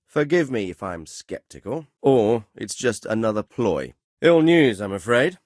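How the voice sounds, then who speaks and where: An actor playing a stern, dry-witted middle-aged medieval lord in a video game, speaking with formal, measured authority and a wry, sardonic edge. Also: male voice, lowish voice, big pitch swings, darkish tone, dry recording. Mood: wry, skeptical, then grave. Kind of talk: video game dialogue acting.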